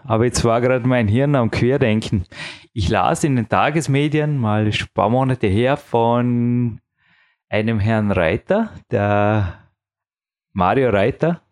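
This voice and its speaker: A man's voice: mid-range voice, a little slow, darkish tone. A man hosting a conversational podcast interview, putting his questions in a wordy, meandering way.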